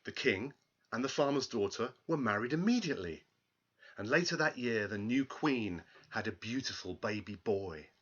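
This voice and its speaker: A man's voice: medium pitch, lively intonation, moderate pace, a bit bright, slightly rough. A man reading a children's story aloud in a dramatic, emphatic way, on a dull, lo-fi recording.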